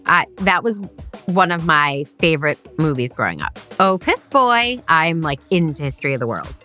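A woman's voice: low-pitched, expressive, moderate pace, bright, forceful tone, soft background music. A woman co-hosting a casual chat podcast, teasing her partner and reading out quiz questions. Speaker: podcast co-host.

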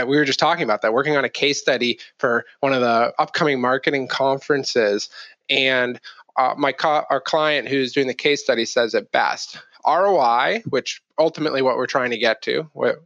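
A man's voice: mid-range voice, a bit bright, no echo. A man explaining things conversationally as an interview guest, often posing rhetorical questions, on slightly muffled audio. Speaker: podcast guest, marketing executive.

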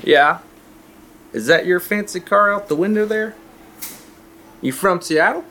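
A folksy, higher-pitched small-town cook. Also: male voice, unhurried, bright, forceful tone, a little hiss or hum. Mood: folksy, helpful. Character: middle-aged male small-town cafe cook, helpful, folksy. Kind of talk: audio drama dialogue.

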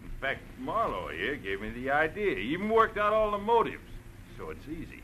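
Bright, forceful crooked police lieutenant. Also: male voice, a little high, very animated, brisk. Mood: menacing, gloating. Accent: American. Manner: snarling, domineering. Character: crooked, menacing police lieutenant, man, gloating and short-tempered. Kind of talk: 1940s radio acting. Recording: noisy vintage radio recording.

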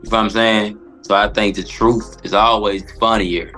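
A man speaking as a comedian guest on a podcast, answering casually and reflectively, often halting and repeating words. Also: lowish voice, little pitch movement, bright, forceful tone, faint music behind.

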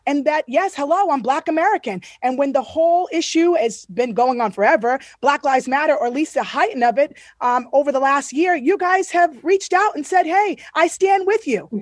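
Woman, 30s, podcast co-host, high-pitched, dry close mic, emphatic and heartfelt. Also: moderate pace, hardly any pauses, dry recording. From Boston area, US. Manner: earnest, effusive, emphatic. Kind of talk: podcast interview.

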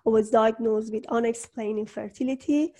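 A woman hosting a conversational interview, speaking English with halting, non-native phrasing. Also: fairly high pitch, steady tone, dark tone. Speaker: interview host, coach.

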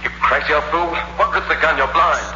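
Actor as a desperate young robber, muffled noisy radio. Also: male voice, on the high side, brisk, lightly acted. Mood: menacing. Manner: menacing, tense, dramatic narration. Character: desperate young male ex-convict robber, narrating his crime. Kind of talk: 1960s radio suspense acting.

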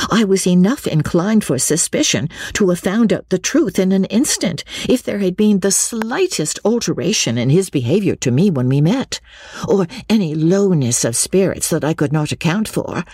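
A woman's voice: on the low side, darkish tone, husky, no room sound, voice pushed up. A woman voicing a sly, jealous young woman in a classic novel, effusive and mannered, confiding as she schemes. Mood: self-justifying. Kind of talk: classic novel character dialogue.

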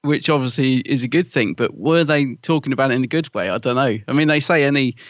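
A man's voice: mid-range voice, no echo. Man, teasing, dull dry audio. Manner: teasing, quick to laugh. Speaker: podcast co-host. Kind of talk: casual chat.

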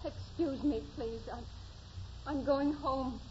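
A dull old radio recording carries a timid young girl. Her high voice is polite, earnest and simple. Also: slow pace, mellow. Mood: earnest, uneasy. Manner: polite, timid but direct. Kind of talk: 1940s radio drama acting.

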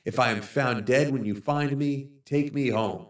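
A man voicing a lost, starving man who pleads in a soft, hushed, higher voice. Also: unhurried, reverberant, recorded from a distance, close to an everyday voice. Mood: desperate.

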